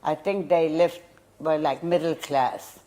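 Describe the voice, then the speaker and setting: A woman's voice: sits low, fairly even intonation, takes it slowly, dark tone. An older woman whose first language was German, recalling her childhood in an oral history interview, hesitant and searching for words. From Poland. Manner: hesitant, searching memory with restarts.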